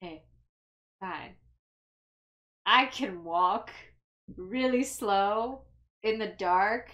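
A woman's voice: mid-range voice, very slow, a bit bright, dull audio. A woman playing a tabletop role-playing game, chatting casually in a playful, rambling way.

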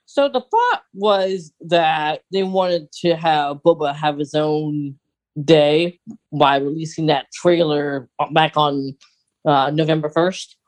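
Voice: mid-range voice, slow. A podcast co-host chatting casually and speculating as a fan, often hesitating and thinking aloud.

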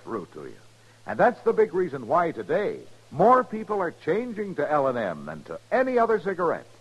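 A man's voice: a little high, huge pitch swings, brisk, slightly rough. A man delivering an emphatic, salesman-like 1950s radio commercial as the announcer. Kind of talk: 1950s radio advertising pitch. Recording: dull vintage radio, loud music behind.

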